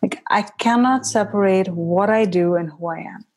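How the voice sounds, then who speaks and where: A woman's voice: medium pitch, a little mellow. A woman from India, a guest on a podcast, talking casually and reflectively with lots of "like" and easy laughter. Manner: reflective and unhurried, full of "like", laughs easily. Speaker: podcast guest, healing facilitator.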